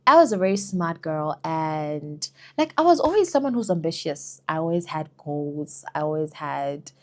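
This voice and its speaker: A young woman with a South African accent talks straight to camera in a relaxed Q&A vlog. Her voice sits a little low. She chats freely, peppering her answers with "girl" and "you know". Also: moderate pace. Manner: chatty, lots of "you know" and "girl", laughs often. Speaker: vlogger. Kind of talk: casual Q&A vlog.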